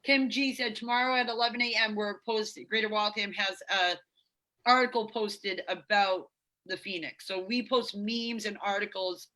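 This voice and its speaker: A woman's voice: mid-range voice, fairly even intonation, moderate pace, sharp tone, poor audio. A woman co-hosting a live stream, chatty and reading out viewer comments. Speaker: co-host. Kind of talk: casual live-stream chat.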